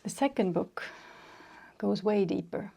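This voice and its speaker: A woman with an Estonian accent hosting a solo podcast, talking slowly and measuredly with many pauses. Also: medium pitch, vocal fry, warm tone. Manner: slow and measured, with frequent pauses. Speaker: podcast host. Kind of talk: unscripted solo podcast talk.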